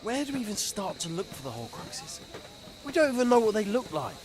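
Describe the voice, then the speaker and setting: A matey, doubtful English teenage wizard from a video game. His voice is high and jumps about expressively. He talks bluntly, like a pal. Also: very animated, a little fast, background noise. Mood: puzzled.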